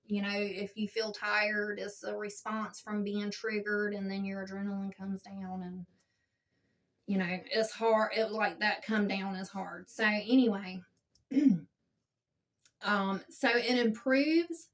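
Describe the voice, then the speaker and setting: A woman with a smooth, soothing voice talks gently and without hurry, often checking in with the listener. She sounds a little distant from the mic. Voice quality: smooth, low-toned soothing voice. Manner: gentle, unhurried, checks in with frequent "okay?". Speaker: spiritual teacher. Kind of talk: casual spiritual self-help talk.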